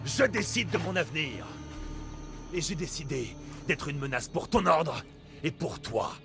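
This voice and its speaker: A brooding greek warrior from a game, intense and defiant, pitch moves a lot, music under it. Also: male voice, sing-song, measured pace. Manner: grim, resolute, intense. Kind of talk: dramatic video game acting.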